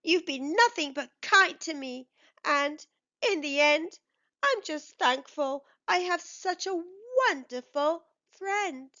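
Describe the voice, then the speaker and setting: An earnest, sweet-toothed beaver from a picture book, as a woman acts him out. His voice is high and very animated, with emphatic phrasing. The recording is slightly dull. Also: takes it slowly, exaggerated voice. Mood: apologetic.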